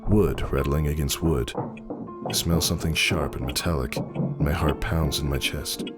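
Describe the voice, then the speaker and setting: Low voiced guy doing a brooding dramatic story reading, measured pace of a narrator, music underneath. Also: fairly even intonation. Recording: music behind the voice.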